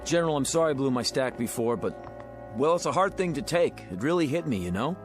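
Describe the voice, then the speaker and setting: An actor in a radio drama playing a brash, bitter working-class hustler who talks in combative, slangy wisecracks. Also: male voice, medium pitch, animated, quick pace, music in the background, lightly acted. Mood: wheedling.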